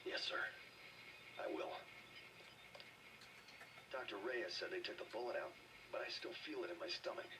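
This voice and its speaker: A man playing a wounded, weary and dutiful soldier in a video game, subdued and breathy. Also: fairly low pitch, natural voice. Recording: noisy, slightly distant game audio.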